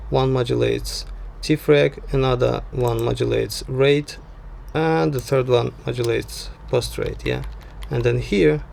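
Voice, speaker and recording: A man narrating an unscripted software tutorial as the tutor, talking haltingly and often checking in with a short tag word. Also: mid-range voice, gravelly, slight background noise. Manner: halting, frequent "yeah" check-ins. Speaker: sound design tutor.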